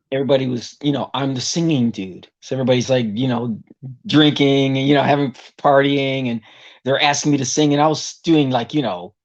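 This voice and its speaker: A middle-aged man with a tenor voice, mid-pitched, telling stories in a casual interview. He is an animated storyteller who slips into other people's voices. The recording is dull and lo-fi. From Puerto Rico. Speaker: guest vocalist.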